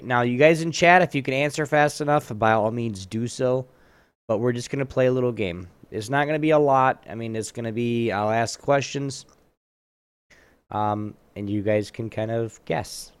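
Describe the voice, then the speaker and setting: A man's voice: mid-range voice, dry recording. A man hosting a casual gaming talk show, chatting informally and running a trivia game.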